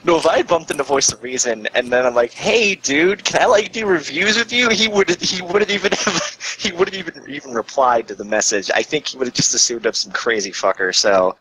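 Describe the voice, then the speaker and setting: A man chatting casually as a guest on a podcast, telling stories in an animated way and often acting out quotes. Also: a little high, brisk, few pauses, rough recording. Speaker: guest content creator and reviewer. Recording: hissy amateur online call audio.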